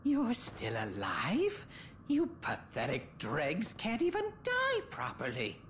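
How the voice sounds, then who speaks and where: An actor voicing a mad, nihilistic clown villain in a video game, theatrical and mocking, swinging from singsong to shrieks. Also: male voice, a little high, huge pitch swings, slow, a little hiss or hum, full character voice. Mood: mocking glee. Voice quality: creaky, cackling. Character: manic nihilistic male mad clown villain obsessed with destruction. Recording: loud music under the voice.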